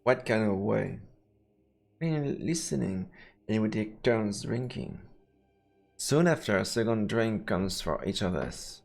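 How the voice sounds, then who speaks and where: Male game streamer, French accent, very lively pitch with some gravel, playful read-aloud and commentary, soft music behind. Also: slow pace. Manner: playful, theatrical reactions. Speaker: live streamer playing a visual novel. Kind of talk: reading game text aloud with casual commentary. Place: indoors, live game stream. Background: faint game music.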